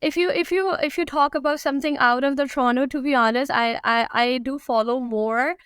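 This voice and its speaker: A woman in her thirties with a Pakistani accent, chatting casually as an interview guest in a halting way, repeating phrases for emphasis. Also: sits high, fairly even intonation, a bit bright, clear, resonant tone, dry recording, studio quality. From Karachi, Pakistan. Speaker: guest, food and lifestyle blogger.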